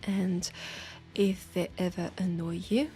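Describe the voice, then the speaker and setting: A woman's voice: fairly low pitch, steady tone, slow, warm tone, soft background music. A woman with a French accent playing a teasing, nurturing witch who tames werewolves, chatting playfully and coaxingly to the listener in an audio roleplay. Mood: fond, teasing. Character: teasing, nurturing female witch, self-styled werewolf tamer.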